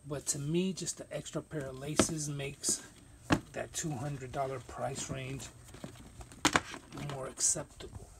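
A man's voice: mid-range voice, steady tone, slow pace, vocal fry, very noisy. A man hosting a casual sneaker unboxing vlog, talking in a loose, rambling way with plenty of slang, recorded on a phone camera in a room stacked with shoe boxes. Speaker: sneaker reviewer.

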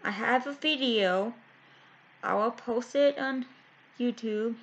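A young woman chatting to her webcam from a small bedroom, halting, with many fillers and restarts. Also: fairly high pitch. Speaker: vlogger. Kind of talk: casual chat to camera. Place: small bedroom with window blinds. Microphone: webcam at close range. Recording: dull lo-fi webcam audio.

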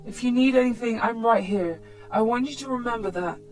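A woman's voice: a little high, brisk, faint music behind, natural voice, poor audio. A woman narrator voicing a concerned adult sibling in a story, earnest and careful.

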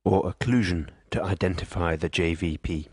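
A man reading scripted medical instruction in a measured, precise, impersonal manner. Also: low voice, darkish tone, husky, no echo, studio quality. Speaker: instructional narrator.

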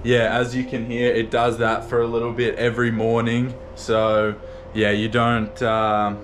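A man's voice: mid-range voice, monotone, unhurried, slight background noise. A man vlogging casually from a remote island lighthouse, chatting as he walks viewers through his morning chores. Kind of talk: casual first-person vlog narration.

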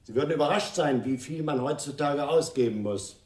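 An actor playing a smug, politely insolent criminal in a German radio crime drama. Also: male voice, fairly high pitch, brisk, a little mellow, roomy sound, lightly acted.

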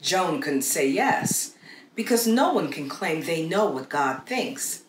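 A woman narrates a children's storybook in a slightly low, very animated voice. She places her emphasis deliberately and dramatically. The room is echoey, with noticeable noise behind her. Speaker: storybook read-aloud narrator. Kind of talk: scripted narration, children's read-aloud story. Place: small plain room, indoors. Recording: roomy echo with clear background noise.

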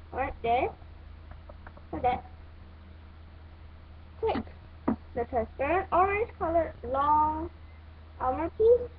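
A woman giving halting, step-by-step instructions in a hands-on toy building tutorial. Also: high-pitched. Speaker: toy review host. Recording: muffled phone-like audio, clear background noise.

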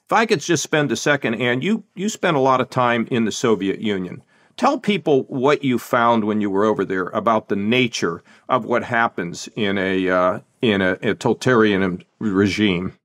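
A man's voice: fairly low pitch, expressive, normal speed, a bit bright. An older man hosting a podcast, chatting casually about politics in a rambling way, correcting himself and chuckling. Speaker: podcast host.

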